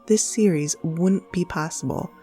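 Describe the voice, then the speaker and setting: A woman's voice: fairly low pitch, measured pace, mellow, soft background music. A woman reading scripted podcast credits and appealing to listeners as the show's announcer.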